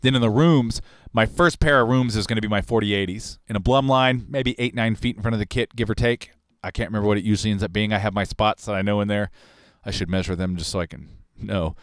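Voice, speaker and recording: Studio guy in his 40s rambling about mics, smooth clear voice, dry close sound. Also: on the low side, quick pace, hardly any pauses, no room sound. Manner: relaxed, rambling, technical. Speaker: recording engineer and host. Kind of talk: casual livestream talk on recording. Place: recording studio control room. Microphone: large broadcast mic on arm, headphones on.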